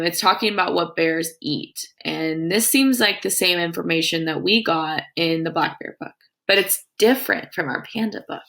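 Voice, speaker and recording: A woman teacher with a creaky voice reading aloud to young children in an instructive way, with her own comments and questions. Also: lowish voice, bright, forceful tone.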